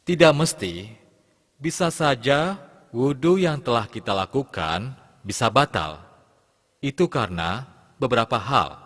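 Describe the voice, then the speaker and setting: A man, an Islamic religious teacher, giving measured step-by-step instruction in a scripted lesson narration for children. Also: mid-range voice, expressive, fairly bright tone. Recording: long hall reverb, clear background noise.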